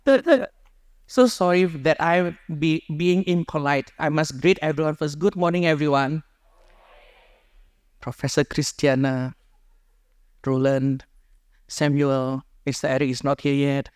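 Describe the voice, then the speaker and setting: A man's voice: on the high side, measured pace, pauses often, clear, resonant tone, dry recording, clean studio sound. A man, a friar sharing his personal story with a small group in an earnest, conversational way, speaking into a microphone. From Indonesia. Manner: earnest, conversational, rephrases as he goes.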